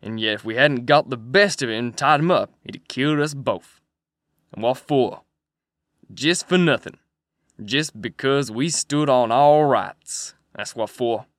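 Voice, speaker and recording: A man's voice: mid-range voice, a little slow, a bit bright, no room sound, clean studio sound, lightly acted. A man voicing a cold, mocking male river thief who sneers at his captive. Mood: sneering.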